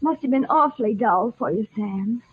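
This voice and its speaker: A prim secretary with a high voice and an icy, jealous politeness. It is old-time radio acting with thin, narrow sound. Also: female voice, expressive, normal speed, husky. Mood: icily jealous. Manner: arch, icily polite. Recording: tinny old radio recording.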